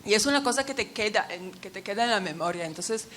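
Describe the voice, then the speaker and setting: A woman's voice: medium pitch, animated, fast, a bit bright, a little hiss or hum. A woman who is not a native speaker talks in a conference Q&A, often searching for words. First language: not Spanish. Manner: searching for words, many fillers. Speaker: audience member asking a question.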